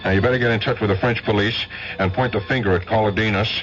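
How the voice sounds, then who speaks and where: An actor playing a cocky, tough-talking American undercover agent in a 1970s radio drama. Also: male voice, fairly low pitch, fairly even intonation, quick pace, a bit bright, close to an everyday voice. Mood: wry. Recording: noisy muffled old radio broadcast recording.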